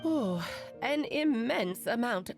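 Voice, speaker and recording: An actress playing a whimsical, caring mage in a video game, with theatrical and musical phrasing. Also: female voice, fairly high pitch, expressive, takes it slowly, over music. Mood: gently regretful. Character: whimsical, caring female mage, lilting and affectionate. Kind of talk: scripted video game voice acting.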